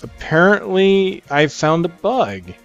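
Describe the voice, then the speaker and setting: A man's voice: sits high, big pitch swings, takes it slowly, muffled recording. Man rambling casually over gameplay. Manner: rambling, thinking aloud. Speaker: gamer presenting a game preview. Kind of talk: casual unscripted gameplay commentary. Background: game music.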